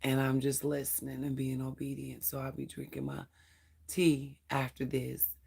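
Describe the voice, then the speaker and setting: A Bible study host with a hoarse, strained voice, reading scripture aloud and adding earnest spiritual commentary, stumbling now and then over hard names. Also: medium pitch, steady tone, normal speed, darkish tone. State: hoarse, losing voice.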